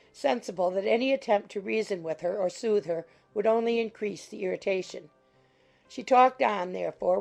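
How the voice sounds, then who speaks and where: A woman's voice: medium pitch, gravelly, a little mellow. A woman reading scripted literary narration as an audiobook narrator.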